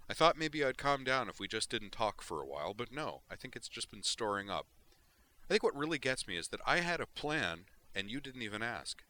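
A man's voice: mid-range voice, sing-song, fairly quick, sharp tone, pitched above the performer's own voice. A man voicing an angry, weary male starship pilot in a sci-fi audiobook reading. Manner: heated, grumbling.

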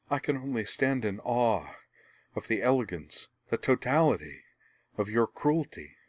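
A man's voice: mid-range voice, no echo, clean studio sound, pitched above the performer's own voice, lightly acted. A man reading a novel aloud, voicing a proud young princess who has lost everything and rants in anguish. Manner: ranting, raw and anguished.

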